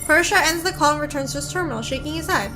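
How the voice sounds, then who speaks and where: A woman gives a casual, expressive video game playthrough. Her voice is high and bright, with faint game music behind it. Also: racing. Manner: expressive, chatty. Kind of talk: casual playthrough commentary and read-aloud narration.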